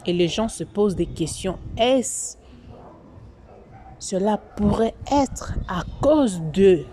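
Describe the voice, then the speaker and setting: A woman's voice: medium pitch, animated, slow, dark tone. A woman from Congo, hosting a commentary vlog in a preachy, moralizing way that repeats its points. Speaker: YouTube channel host.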